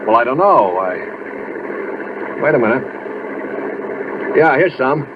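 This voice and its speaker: An American man playing a weary, haunted war veteran who tells his story in a reflective, deliberate radio drama style. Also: mid-range voice, big pitch swings, noisy background. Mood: polite, hesitant. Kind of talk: vintage radio drama acting and narration. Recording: muffled vintage radio recording, music behind.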